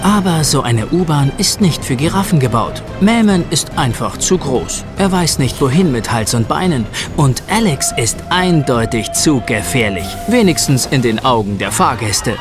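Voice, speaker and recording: Expressive male storyteller, lively intonation. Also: medium pitch, normal speed, over music. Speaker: narrator. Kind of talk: scripted children's audio drama narration.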